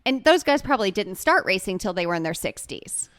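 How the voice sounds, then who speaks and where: A woman's voice: mid-range voice, big pitch swings, fairly quick, a bit bright, no room sound, clean studio sound. A woman who coaches triathlon, speaking earnestly and encouragingly in a casual podcast chat.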